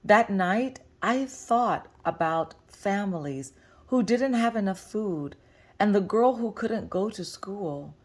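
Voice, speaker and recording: A woman reading a picture book aloud to children as a storyteller, with deliberate, expressive phrasing. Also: medium pitch.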